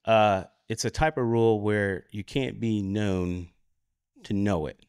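A man with an American accent giving an informal, explanatory conference talk, halting as he searches for words and often checking in with "right?". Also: fairly low pitch, fairly even intonation, darkish tone, smooth, no echo, studio quality. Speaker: conference speaker. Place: conference room at a lectern.

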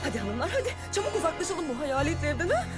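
A woman's voice: sits high, sing-song, very fast, over music. A woman voicing a cheerful, practical mother in a dubbed cartoon. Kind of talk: cartoon dubbing.

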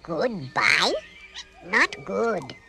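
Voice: medium pitch, big pitch swings, very slow, creaky, sharp tone, faint music behind, exaggerated voice. An actor voicing a small, earnest furry forest creature that talks in clipped broken English. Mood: earnest, wary.

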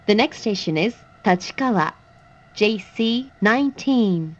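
A woman's voice: medium pitch, lively intonation, extremely slow, highs cut off. A woman reading a scripted train announcement, formal and carefully articulated. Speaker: English-language train announcer.

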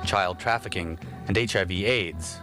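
A man reading a news report voice-over in a crisp broadcast delivery. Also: low-pitched, measured pace, poor audio. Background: music.

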